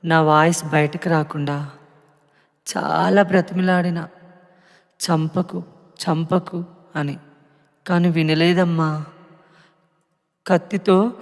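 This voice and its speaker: A man voicing the spirit of a murdered young pregnant woman who speaks to her family in a sorrowful, pleading monologue. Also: fairly high pitch. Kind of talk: emotional monologue. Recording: long echoing reverb.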